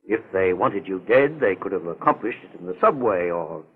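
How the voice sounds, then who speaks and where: An actor playing a kindly, fatherly older investigator in 1940s radio style, deliberate, courteous and reassuring. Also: low voice, animated, slightly rough. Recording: tinny, narrow 1940s radio recording.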